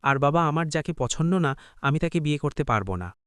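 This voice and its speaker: A man voices an earnest, stubborn young son in a cartoon. His voice sits fairly high and sounds close and dry, firm. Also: expressive, fairly quick, clear, resonant tone, no room sound, lightly acted. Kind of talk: Bengali cartoon voice acting.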